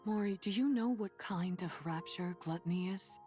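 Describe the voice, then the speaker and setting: A woman playing a stern, protective female android soldier in an anime game dub, speaking in a measured, grave, firm way. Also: lowish voice, darkish tone, soft background music, natural voice. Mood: firm, reluctant.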